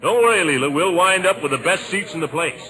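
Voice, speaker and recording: A man's voice: on the high side, animated, fast talker, over loud music, lightly acted, poor audio. A man playing a vain, blustering middle-aged small-town official in an old radio comedy, with an American accent and a fussy, chuckling delivery. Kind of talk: 1940s radio comedy acting.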